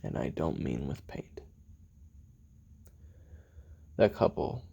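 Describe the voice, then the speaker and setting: Guy acting as a jaded regretful hitman taping his memoirs, super low husky voice, halting, confessional audio drama. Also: lively intonation, dark tone, full character voice. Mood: grim, cold. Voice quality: very deep, gravelly, husky, often breathy. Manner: halting, confiding, dropping into near-whispers. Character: weary, bitter, regretful male assassin recounting his youth. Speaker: voice actor in an audio roleplay.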